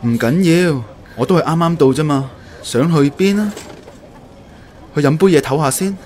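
A man's voice: on the high side, moderate pace, a little mellow, a little hiss or hum, natural voice. A man playing an earnest, emotional young man in a radio drama, speaking with a Hong Kong Cantonese accent. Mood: casual. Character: earnest, regretful young man confronting his love.

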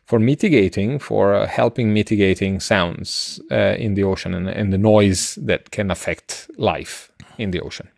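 A middle-aged man co-hosting a science podcast, explaining casually and haltingly, often circling back to rephrase. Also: on the low side, vocal fry, a little mellow, dry recording, studio quality. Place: indoor room on a video call. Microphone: close desk microphone, headset.

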